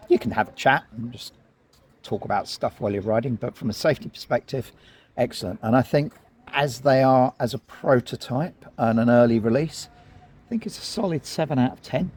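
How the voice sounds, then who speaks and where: A man's voice: medium pitch, brisk, a little mellow, slightly rough. A man giving a casual, explanatory product review, speaking informally and directly to viewers. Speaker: vlog host and product reviewer.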